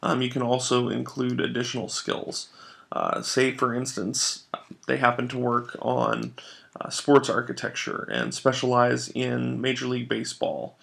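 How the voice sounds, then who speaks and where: A man narrating an instructional software tutorial, explaining things step by step in an informal way. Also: mid-range voice, level tone, unhurried, gravelly. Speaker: software trainer.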